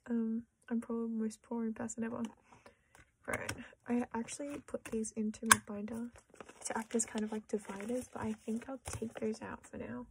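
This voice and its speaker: A young Australian woman chatting quietly to camera while sorting her collection, often dropping into a half-whisper and poking fun at herself. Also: fairly high pitch, noisy background. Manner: soft-spoken, often half-whispering, self-deprecating. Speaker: collecting vlogger. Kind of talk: casual chat while sorting cards.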